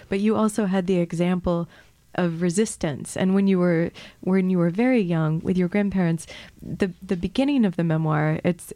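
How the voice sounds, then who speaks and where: A woman's voice: on the low side, warm tone, dry recording. A woman interviewing an author, speaking eagerly with stumbling restarts. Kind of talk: conversational interview.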